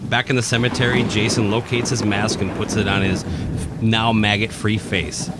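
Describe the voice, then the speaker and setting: Male narrator, lowish pitch, dramatic horror storytelling, scripted reading, very heavy ambient noise behind. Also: little pitch movement, moderate pace. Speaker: narrator and podcast host.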